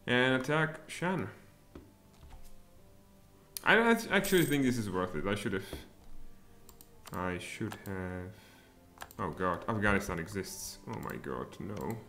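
A man in his thirties with a Czech accent, streaming a strategy game and thinking aloud, with sudden excited outbursts. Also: lowish voice, unhurried, pauses often. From Czech Republic. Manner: mutters thoughts aloud, then bursts out excitedly. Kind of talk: live strategy game commentary. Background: faint music and keyboard typing.

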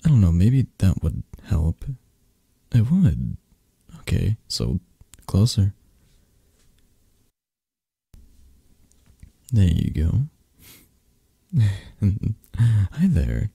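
A man playing a possessive, flirtatious mafia boss in an intimate ASMR romance roleplay, murmuring sleepily and teasingly with a breathy, husky voice. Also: sits low, wildly swooping pitch, slow, long pauses, mellow, no echo, exaggerated voice. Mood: soothing, tender.

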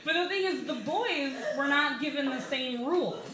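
A woman in her twenties with an American accent, reading a comedic personal essay live with wry, deliberate timing at a bar microphone. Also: high-pitched, a crowd in the background. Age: 20s. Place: small bar reading series, indoors. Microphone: microphone on a stand, held close. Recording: roomy live bar, some background noise.

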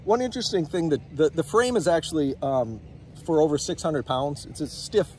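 A man in his forties calmly explaining his trailer in a matter-of-fact way, recorded outdoors on someone else's phone. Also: fairly high pitch, normal speed, slight background noise. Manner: calm, matter-of-fact, some repeated words. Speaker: trailer company owner, guest. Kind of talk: casual product explanation. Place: outdoors on a grassy park by a lake. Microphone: phone held by another person nearby.

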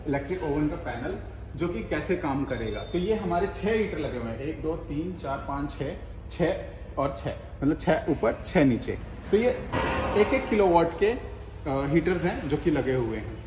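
A man's voice: on the high side, lively intonation, measured pace, a little mellow. A young man explaining oven panels in a casual demo, repeating himself and restarting phrases often. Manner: explains step by step with many repetitions and restarts. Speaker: bakery equipment seller. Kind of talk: casual product demonstration. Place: workshop with ovens, indoors. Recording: echoey room, faint noise.

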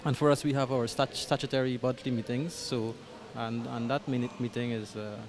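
A man's voice: medium pitch, flat delivery, fairly quick, a crowd in the background. A Guyanese man in his thirties answering interview questions in a small church hall, hesitant with many ums and restarts, speaking into a handheld mic. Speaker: parish coordinator. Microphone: handheld mic held by interviewer.

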